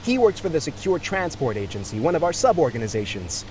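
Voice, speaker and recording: A man's voice: on the high side, very animated, darkish tone, a little hiss or hum, poor audio. Polite male clerk, video game: apologetic, busy, swinging intonation. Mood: harried, courteous. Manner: courteous, hurried, apologetic.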